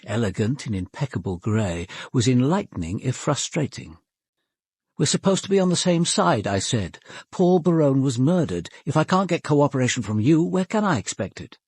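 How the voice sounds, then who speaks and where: A man with a British accent acting a reflective, probing senior police detective in a radio drama, narrating in a measured, formal, literary way. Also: mid-range voice, sing-song, darkish tone, breathy edge, no room sound, studio quality. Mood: frustrated.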